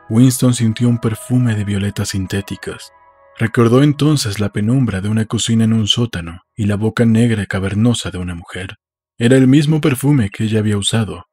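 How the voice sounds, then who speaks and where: A man reading literary narration for an audiobook in a measured storytelling manner. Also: lowish voice, darkish tone, husky.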